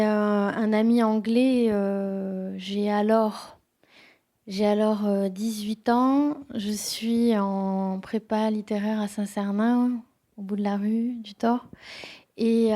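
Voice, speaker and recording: Woman poet with a warm, dark tone, reflective and halting at a bookshop talk. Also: medium pitch. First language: French. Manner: thoughtful, hesitant, many restarts. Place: bookshop event room.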